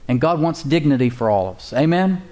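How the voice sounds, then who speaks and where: Pastor preaching with folksy warmth. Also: male voice, fairly high pitch, animated, a little fast. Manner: conversational preaching, chuckles, emphatic repetition. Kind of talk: sermon.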